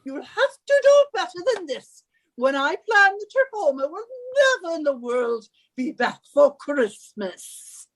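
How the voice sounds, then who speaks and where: Woman doing a scolding british wife in a radio play, slow and imperious, voice swoops all over. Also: high-pitched, lively intonation, low-quality recording. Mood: exasperated, domineering. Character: bossy, scornful, henpecking British middle-aged wife. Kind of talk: radio suspense drama acting.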